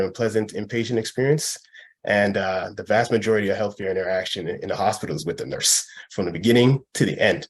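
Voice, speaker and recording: A man's voice: deep voice, husky. A man who works as an ICU nurse, giving a hesitant talk on a video call and often repeating his words.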